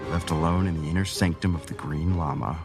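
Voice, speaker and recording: A menacing villain, gloating in a rock-bottom, creaky growl. It is radio drama with music clearly behind the voice. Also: male voice, low voice, steady tone, brisk. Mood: smug, gloating.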